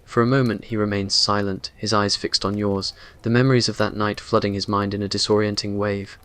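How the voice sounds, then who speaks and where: A man's voice: on the low side, flat delivery, brisk, fairly bright tone, smooth. A man reading scripted dramatic narration for a romantic ASMR roleplay in an earnest, brooding way. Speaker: story narrator.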